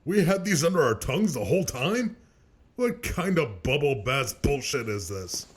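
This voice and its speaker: A man playing a foul-mouthed, self-loathing loser in a web cartoon, talking in a bitter, halting way. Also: medium pitch, big pitch swings, noisy background, lightly acted. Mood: indignant. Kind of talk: animated web cartoon dialogue.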